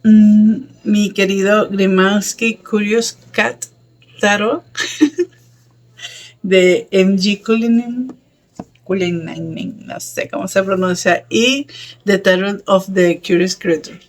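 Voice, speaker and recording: A woman giving a casual tarot reading, chatty and affectionate, with many fillers and soft laughs. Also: medium pitch, steady tone, takes it slowly. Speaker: tarot reader. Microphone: camera set up over a table with cards.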